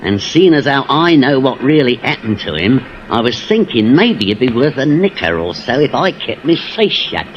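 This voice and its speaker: A man's voice: medium pitch, sing-song, moderate pace, hardly any pauses, close to an everyday voice. An actor playing a sly, servile blackmailing seaman with wheedling working-class speech in a 1950s radio drama. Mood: sly, deferential. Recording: muffled, noisy vintage radio recording.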